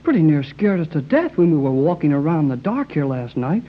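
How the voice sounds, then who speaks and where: A woman's voice: deep voice, a little fast. A woman playing Jerry, an eager, slangy, inquisitive boy in a 1930s radio adventure serial. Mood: curious. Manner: excitable, folksy exclamations. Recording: dull, lo-fi 1930s radio recording.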